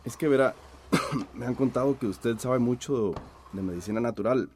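A man's voice: medium pitch, a little mellow, a little hiss or hum, lightly acted. An actor playing a shy, hesitant man who is sick with a cough, in a radio drama. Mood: hesitant, unwell. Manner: hesitant, halting, apologetic. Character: shy, halting sick man visiting a healer.

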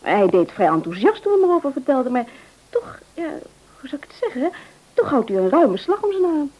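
A grown daughter in a radio drama, with a fairly high, animated voice. She is chatty and eager, politely hesitant as she talks. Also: fairly quick, highs cut off. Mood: earnest, hesitant. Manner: chatty, polite, hesitant when asking favours. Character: Annie, dutiful, eager married adult daughter. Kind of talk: radio play acting.

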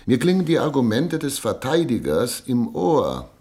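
A man playing a self-assured former prosecutor, now a judge, in a German radio play, with deliberate, oratorical delivery. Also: mid-range voice, huge pitch swings, darkish tone. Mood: genial, deliberate. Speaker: actor in radio drama.

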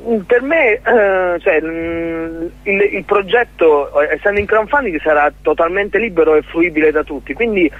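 A man being interviewed as a guest about his theatre collective, talking in a halting way with many restarts and repeated words. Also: sits high, dry recording. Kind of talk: casual radio interview. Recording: tinny phone line.

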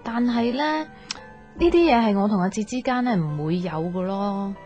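A woman's voice: mid-range voice, lively intonation, moderate pace, warm tone, muffled recording, faint music behind, natural voice. An actress playing a sweet, earnest young woman confiding about romance in a radio drama, girlish, with a Hong Kong Cantonese accent. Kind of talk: naturalistic radio drama acting.

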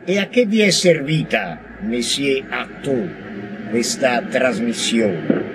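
A man declaims a dramatic text in slow, deliberate lines, often loud and forceful. His voice is low to middle in pitch. A faint noise runs under the radio recording. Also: lively intonation. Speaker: actor reciting a monologue. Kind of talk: theatrical recitation.

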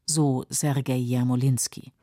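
A woman with a husky voice giving sober, measured scripted documentary narration in a radio studio. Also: very deep voice, little pitch movement, mellow, faint music behind.

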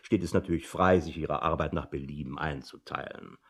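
An actor playing a gushing, pompous personnel manager in a radio drama, husky-voiced and elaborately polite. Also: male voice, very deep voice, fairly even intonation, fairly quick, heavily acted. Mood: eager, obliging. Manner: gushing, mannered, pompous, repeats himself in polite phrases. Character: effusive, flattering, fussy male personnel manager. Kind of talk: German radio drama dialogue.

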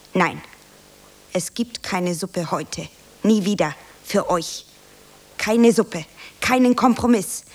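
A woman playing an eager, anxious young beginner actress in a radio play, earnest and theatrical, with dramatic pauses. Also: lowish voice, a little mellow, lightly acted. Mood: tragic, declaiming. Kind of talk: German radio play acting. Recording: roomy, faint hiss.

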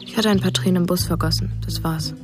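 A woman's voice: fairly low pitch, fast talker, music in the background, natural voice. An actress in a radio play narrating the memories of a bereaved, furtive boy in a detached, laconic way.

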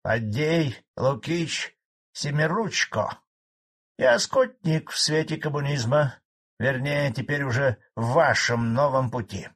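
A man voicing a spiteful old male collective farmer who rants gruffly and defiantly. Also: mid-range voice, lively intonation, takes it slowly, fairly bright tone, pitched above the performer's own voice, exaggerated voice. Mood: grumbling.

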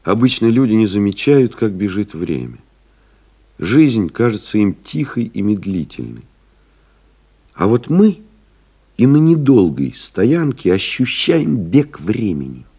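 An actor playing a reflective pilot-writer, narrating memories in a measured, solemn, literary radio-play style. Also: male voice, on the low side, very animated, dark tone, slightly rough, full character voice. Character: reflective male pilot-writer recalling friends and desert flights.

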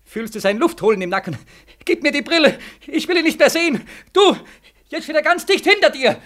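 An actor playing a despairing, haunted young war veteran in a 1940s radio play, halting and anguished, swinging from hushed to frantic. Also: male voice, very high-pitched, animated, a little hiss or hum. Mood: fearful, feverish.

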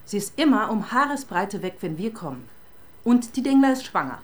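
A woman's voice: mid-range voice, very animated, normal speed, lightly acted. An actress playing a sardonic, persistent police detective in a radio crime drama, dry and pointed in her questioning. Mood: wry, brooding.

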